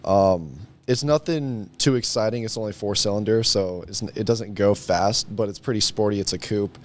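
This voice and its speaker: A man's voice: on the low side, normal speed. A young man in his late teens chatting casually as a guest, modest and understated. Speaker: guest, high school senior. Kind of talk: casual chat.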